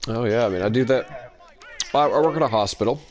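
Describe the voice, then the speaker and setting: A man's voice: mid-range voice, brisk, a crowd in the background. A man around thirty, from Oklahoma, with a faint twang in his speech. He chats loosely and jokes around while playing a football game on stream, in a middle-range voice. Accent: slight Oklahoma twang. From Oklahoma, USA. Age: about 30. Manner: laid-back, joking, chatty. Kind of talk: casual gaming chat.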